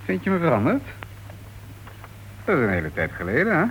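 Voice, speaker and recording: An actor playing a cocky ex-convict old flame in a Dutch radio play, with a breezy, sardonic, taunting manner. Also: male voice, fairly high pitch, wildly swooping pitch, a little fast, breathy edge, exaggerated voice. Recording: noisy old radio recording with background hiss.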